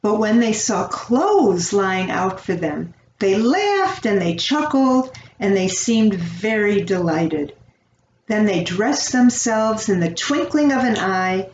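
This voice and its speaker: An older woman reading a children's fairy tale aloud as a storyteller, with a gentle, unhurried delivery. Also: medium pitch. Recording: roomy, distant, dull home recording, faint noise.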